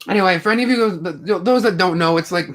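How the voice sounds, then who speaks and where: Young male streamer, higher-pitched, loose rambling chat with restarts. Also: quick pace, fairly bright tone. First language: English. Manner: rambling, chatty, many fillers and restarts. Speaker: live stream host. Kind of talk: casual live commentary chat.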